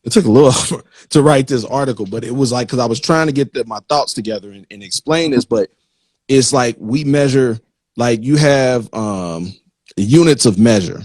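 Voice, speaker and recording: Man, medium pitch, dry sound, casual interviewer, slangy, halting delivery. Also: a little mellow, dry recording. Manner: informal and slangy, halting, checks in with listener. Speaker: interviewer, magazine host.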